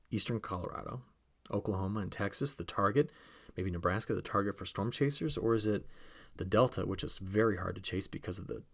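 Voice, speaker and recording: A man with a fairly low voice gives an informal weather briefing. He explains like a forecaster talking straight to his followers, conversational and emphatic, often putting questions to the listener. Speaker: weather forecaster presenting an outlook.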